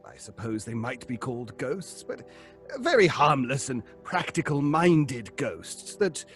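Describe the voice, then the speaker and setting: An actor playing an earnest, pedantic professor leading a seance in a radio drama, speaking precisely with hesitant ums. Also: male voice, medium pitch, very animated, a little slow, a bit bright, soft background music. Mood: pedantic, measured. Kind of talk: old-fashioned radio drama acting.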